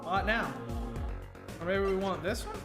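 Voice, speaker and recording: A woman's voice: mid-range voice, unhurried. Chatty woman with a Wisconsin accent. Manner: chatty, wry, thinks out loud. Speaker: let's play host. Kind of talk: casual let's play commentary. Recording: roomy room with game music. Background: game music.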